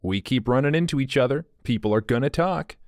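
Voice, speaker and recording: A man's voice: medium pitch, animated, fairly quick, darkish tone, no echo, close to an everyday voice. Narrator doing young guy grinning through nerves, glib, dry sound. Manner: glib, falsely cheerful. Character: wry young man hiding his nerves.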